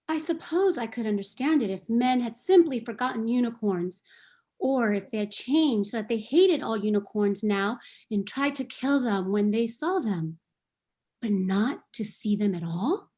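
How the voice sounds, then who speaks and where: Woman doing a sad, proud unicorn, slow and searching, kinda high voice, play reading. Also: lively intonation, mellow. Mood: bewildered. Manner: slow, earnest and questioning. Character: wondering, sorrowful female unicorn, proud and never captured. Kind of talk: table read of a stage play.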